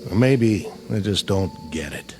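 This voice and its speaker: An American actor playing a weary, cynical army sergeant with sardonic, slangy talk in a radio drama. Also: male voice, on the low side, lively intonation, measured pace, a little mellow, faint music behind. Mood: weary, musing.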